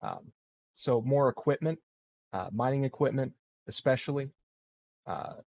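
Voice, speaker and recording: Slow, gravelly-voiced man with halting phrasing. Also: mid-range voice, sing-song, a little mellow. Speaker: tabletop game player. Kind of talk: casual in-game discussion.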